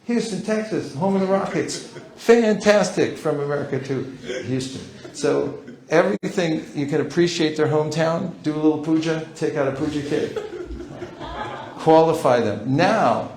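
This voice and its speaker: A man's voice: on the high side, moderate pace, darkish tone. A man leading a live seminar, teaching with a playful manner and acting out short dialogues. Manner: playful, acts out little dialogues. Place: large hall. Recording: roomy hall sound.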